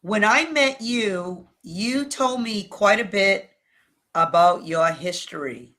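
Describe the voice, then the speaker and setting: Bright-voiced, unhurried New England woman. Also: mid-range voice, lively intonation. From New England, United States. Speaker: interview guest, relationship coach. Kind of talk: conversational advice and storytelling.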